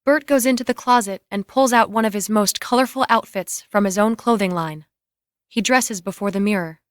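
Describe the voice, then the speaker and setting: A woman's voice: medium pitch, a bit bright, no room sound, clean studio sound. A woman narrating scripted screenplay scene directions in a matter-of-fact way.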